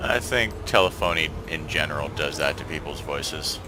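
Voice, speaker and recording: Man, very low voice, laid-back streamer, thinks aloud, loud game music behind. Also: moderate pace. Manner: relaxed, thinks aloud and trails off. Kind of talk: casual gameplay chat.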